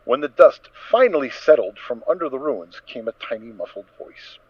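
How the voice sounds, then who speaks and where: A man reads a dramatic story as narrator in a mid-range voice. His phrasing is measured and emphatic. The recording is close and dry, slightly dull and lo-fi. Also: medium pitch, big pitch swings, slightly rough, no room sound.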